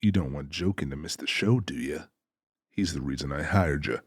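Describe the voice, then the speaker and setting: A menacing male avenger from a crime audiobook, acted by a man, with a very deep, husky voice. He speaks deliberately and close to the mic in a dry space, with folksy, mock-polite formality. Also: dry recording, exaggerated voice. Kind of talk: audiobook character acting.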